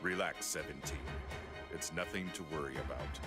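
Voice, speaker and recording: A man playing a calm, stoic male android fighter in a video game, speaking in a measured, formal, deadpan way over loud music. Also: a bass voice. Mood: grave, measured. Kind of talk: English video game voice acting.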